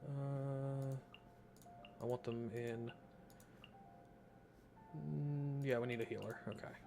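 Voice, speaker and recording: A man's voice: mid-range voice, fairly even intonation, takes it slowly, gravelly. A man giving casual gameplay commentary, half talking to himself as he plays. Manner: muttering to himself while playing. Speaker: gameplay streamer. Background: game music and sounds.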